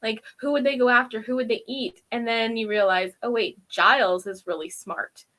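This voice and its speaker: A woman giving a casual, chatty episode recap as a show co-host, giggly and animated, acting out imagined reactions. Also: on the high side, normal speed.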